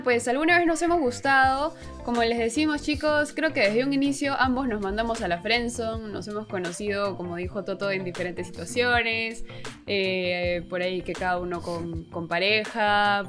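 A woman's voice: mid-range voice, normal speed, over music. A young adult woman co-hosting an online show, chatting casually and addressing her viewers. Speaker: co-host of an online chat show.

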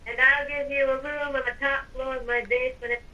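A sly evil overlord, acted by a woman in a game roleplay, coaxing with theatrical sweetness. The voice is high and bright, heard away from the mic with faint noise. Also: flat delivery, brisk, lo-fi sound. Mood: scheming. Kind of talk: improvised Minecraft roleplay. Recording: noisy, distant mic with game ambience.

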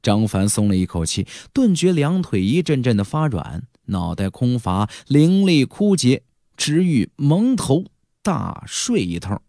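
A man's voice: medium pitch, very animated, unhurried, mellow, no room sound, studio quality. A man narrating a fantasy novel as a dramatic audiobook, theatrical and emphatic, drawing out key words.

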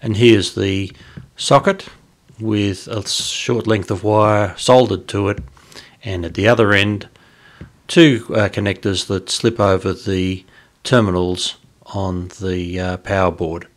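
A man, explaining a hobby project informally, in halting phrases with pauses. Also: on the low side, fairly even intonation. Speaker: host of an amateur radio hobby video. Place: small indoor radio room at home.

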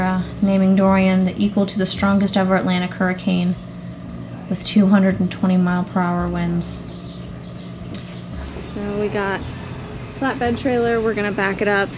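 A young adult woman talking casually to camera as a farm vlogger, explaining things in a plain, informal way. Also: mid-range voice. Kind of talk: casual vlog talk. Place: large garage, kitchen, outdoors in a yard. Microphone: camera mic, arm's length or farther. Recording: echoey garage and rooms, background noise.

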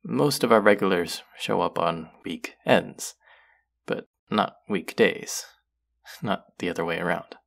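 Casting: male voice actor as a playful young barista, low gravelly voice, lively teasing delivery. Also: a little slow, heavily acted. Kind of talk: audio roleplay for a listener.

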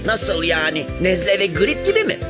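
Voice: mid-range voice, sing-song, moderate pace, over loud music, lightly acted. A performer voicing a sulky but earnest cartoon frog who is learning to be happy, answering simply. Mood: brightening, curious. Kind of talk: children's cartoon dialogue.

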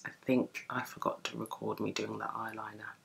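A young woman with a deep early-morning voice, chatting through a makeup tutorial at home while she works on her eyes. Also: little pitch movement, normal speed, vocal fry, fairly bright tone. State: just woken, deep morning voice. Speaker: beauty vlogger. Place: home room with bookshelves, indoors.

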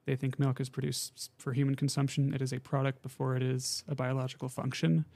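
Young man with a gravelly, flat voice. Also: mid-range voice, fast. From Montana. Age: 20s. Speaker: college student guest. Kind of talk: casual radio talk.

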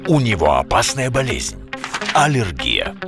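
A man's voice: fairly low pitch, huge pitch swings, unhurried, vocal fry, full character voice. A man voicing a sensible, wryly stern brown bear in a cartoon, speaking in an admonishing way. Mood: mock-solemn. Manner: wry, admonishing. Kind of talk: cartoon voice acting. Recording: music under the voice.

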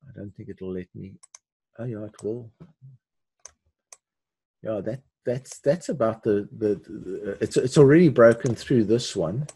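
An older man hosting a live webinar, giving unscripted commentary in a halting way with repeated words and restarts, his voice husky. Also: medium pitch, warm tone, no room sound. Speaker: webinar host teaching forex chart analysis.

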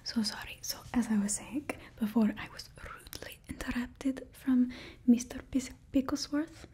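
A woman's voice: fairly high pitch, moderate pace, mellow, natural voice. A young woman voicing a bossy female stylist to supervillains with a put-on Russian accent, whispering blunt remarks close to the mic. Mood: whispery. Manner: blunt and condescending. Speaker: ASMR creator. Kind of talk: ASMR roleplay. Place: indoor studio with painted backdrop. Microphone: close ASMR mic.

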